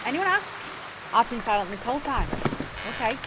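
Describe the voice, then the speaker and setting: Bossy, high-voiced, animated manager. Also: female voice, a little fast. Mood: patronising, confiding. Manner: officious, patronising, pointed. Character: bossy, self-important female workplace manager who gossips. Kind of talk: audio comedy drama acting. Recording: clear rain and outdoor ambience noise.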